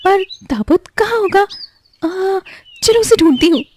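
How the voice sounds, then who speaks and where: A woman's voice: extremely high pitch, expressive, slow, darkish tone, a little hiss or hum, pitched above the performer's own voice, exaggerated voice. A woman voicing a brave, earnest young heroine in a cartoon moral story, with dramatic, wondering delivery. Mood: amazed.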